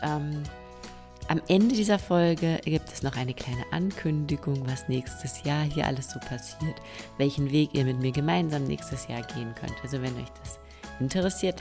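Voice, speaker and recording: A woman of around forty, hoarse and stuffy-nosed, hosting a solo podcast and encouraging her listeners directly. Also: extremely low pitch. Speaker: podcast host. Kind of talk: solo podcast monologue giving tips. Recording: long reverb with faint music.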